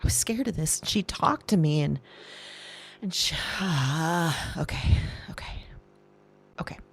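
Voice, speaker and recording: A woman playing an anxious, shaken woman recording an audio diary, halting and breathy, repeating herself and dropping into whispers. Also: sits low, animated, full character voice. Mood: frightened, shaken. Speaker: audio drama performer. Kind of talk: intimate confessional audio diary acting.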